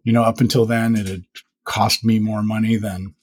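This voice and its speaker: Husky, reflective man in his fifties. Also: lowish voice, level tone, normal speed. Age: 50s. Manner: reflective, rambling with many fillers. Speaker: podcast host and guest. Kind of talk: casual interview conversation.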